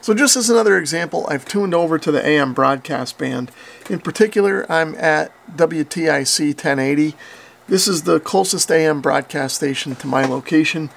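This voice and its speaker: A man's voice: a little high, moderate pace, gravelly, slight background noise. An American man in his forties giving a plain-spoken, methodical repair tutorial as a channel host in a small workshop. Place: small indoor workshop with concrete walls.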